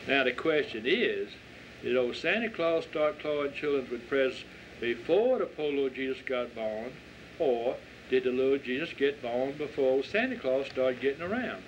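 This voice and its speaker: A man's voice: medium pitch, lively intonation, moderate pace, close to an everyday voice. A folksy country reverend from the South, acted by a male reader, patiently coaxing answers from children in a preacherly way. It is a story reading on a dull recording with a little background noise. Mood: genial, questioning. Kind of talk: dramatic reading of a dialect short story. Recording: dull lo-fi audio with faint noise.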